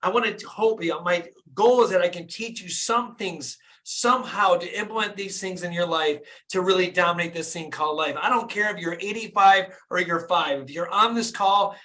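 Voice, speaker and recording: A man in his fifties from Michigan, a dentist hosting a live motivational call in an energetic, hyped-up way, often asking his listeners "right?". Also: sits high, moderate pace, few pauses. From Flint, Michigan, USA. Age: 50s. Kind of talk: motivational live-stream talk.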